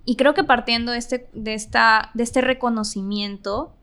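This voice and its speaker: Woman, Peruvian accent, slightly high voice, colloquial, reflective podcast talk, frequent tag questions. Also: fairly even intonation, normal speed, bright, forceful tone, smooth. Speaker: podcast guest. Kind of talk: reflective casual discussion.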